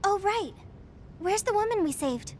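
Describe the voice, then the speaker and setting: A woman's voice: extremely high pitch, lively intonation, a little slow, a little mellow, slight background noise. An actress voicing an earnest, determined young woman soldier with a girlish manner in a video game cutscene.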